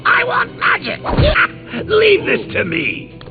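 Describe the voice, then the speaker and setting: An actor playing a manic, theatrical clown supervillain in a video game, cackling and taunting with playful wordplay. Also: male voice, very high voice, wildly swooping pitch, slow pace, creaky, exaggerated voice. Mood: gleeful. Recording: tinny lo-fi game audio with loud music.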